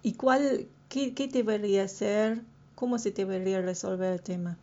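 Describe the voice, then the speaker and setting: A woman's voice: medium pitch, normal speed, dark tone. A woman interviewer asking long, thoughtful questions that she draws out with fillers. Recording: dull lo-fi recording.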